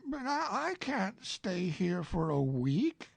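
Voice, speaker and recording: A woman's voice: medium pitch, big pitch swings, gravelly, slightly rough, no room sound, pitched above the performer's own voice, heavily acted. A woman doing a plaintive, simple teddy bear. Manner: plaintive, slow and wistful.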